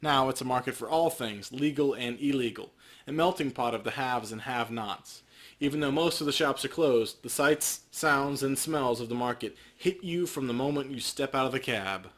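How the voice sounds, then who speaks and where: A man's voice: medium pitch, normal speed, a bit bright. A man reading descriptive game narration aloud in a storytelling style. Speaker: let's play co-host.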